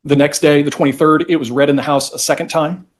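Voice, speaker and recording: A man's voice: on the high side, steady tone, fast. Man arguing as a lawyer in court. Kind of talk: oral argument walking a judge through legislative history.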